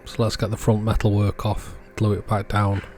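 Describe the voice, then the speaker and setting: A man's voice: on the low side, monotone, normal speed, a little mellow, faint music behind. A man giving an informal how-to voice-over in short, matter-of-fact sentences, as a repair channel host.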